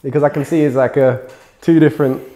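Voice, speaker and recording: A mellow, warm-toned interviewer keeps the chat easygoing. They ask casual questions, laugh often and nod along with a steady stream of yeahs. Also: mid-range voice, fast. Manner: affirming, laughs often, says yeah a lot.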